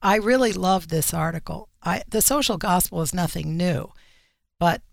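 Woman with heavy vocal fry giving earnest interview answers, really dry close sound. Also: lowish voice, no room sound. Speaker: magazine editor in chief, interview guest. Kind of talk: conversational interview commentary on religious issues.

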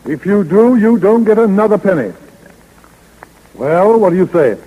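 Formal 1930s radio lawyer, high-voiced. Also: male voice, lively intonation, husky, narrow, tinny audio. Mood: solemn, stern. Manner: deliberate, formal, precise. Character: fussy, formal male lawyer reading out a will. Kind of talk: 1930s radio comedy acting. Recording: 1930s radio recording, long reverb.

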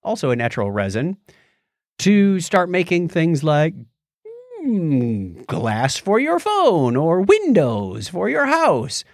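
A man's voice: fairly high pitch, huge pitch swings, slow, no room sound, clean studio sound. A man hosting a podcast, chatting casually and explaining science news in a conversational way. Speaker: podcast host.

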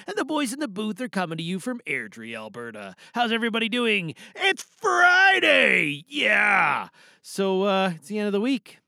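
A man from Canada hosting a podcast in a casual, chatty, animated and excitable way. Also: high voice, gravelly, no room sound, studio quality. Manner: chatty, animated, self-interrupting. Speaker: podcast host and amateur voice actor.

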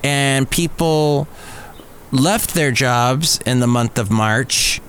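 A middle-aged American man hosts a solo podcast in a casual, rambling style. His voice sits at an average male pitch, and he drops in jokey, self-mocking asides. Faint to clear ambient noise runs behind him. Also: very slow, a bit bright. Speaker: podcast host. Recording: clearly audible background noise.

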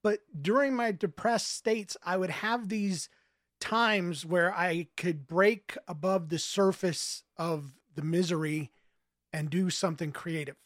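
A man's voice: high-pitched, takes it slowly, fairly bright tone, no room sound, clean studio sound. A man who is an author, speaking as a storyteller reading and reflecting on his own work. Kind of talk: audiobook narration and reflective interview answers.

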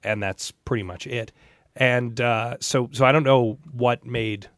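A man in a casual podcast conversation, telling personal anecdotes in a measured, thoughtful, hedging way. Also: mid-range voice, fairly even intonation, fairly bright tone, dry recording, studio quality.

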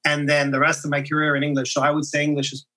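A man's voice: fairly high pitch, monotone, racing, sharp tone. A man whose first language is French, a podcast guest talking reflectively, often restarting and repeating words. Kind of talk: conversational interview.

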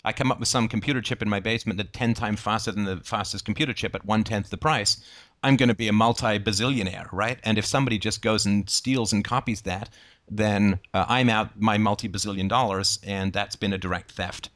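Low-voiced man explaining in discussion. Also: fairly even intonation, fairly quick, a bit bright. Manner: explanatory, argues through hypotheticals. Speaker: book club discussion host. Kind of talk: informal debate, thinking aloud.

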